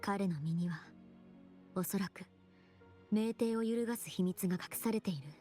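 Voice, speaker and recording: A woman's voice: medium pitch, fast, warm tone, natural voice. A woman voicing a composed, thoughtful young female companion in a video game. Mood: serious, thoughtful. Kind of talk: Japanese video game voice acting. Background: game music.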